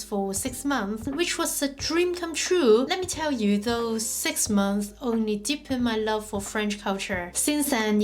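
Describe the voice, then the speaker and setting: Young hong kong woman vlogging, kinda high voice, chatty and upbeat, talks right at you. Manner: upbeat, chatty, direct questions to viewer. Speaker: language vlogger. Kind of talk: scripted talk to camera.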